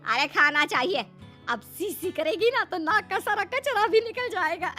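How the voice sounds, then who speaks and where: A woman's voice: extremely high pitch, expressive, unhurried, pushed and bright, soft background music, voice pushed up, exaggerated voice. A woman voicing a bossy, generous older mother-in-law in a Hindi comedy cartoon, exclaiming and giving orders. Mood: bossy, cheerful.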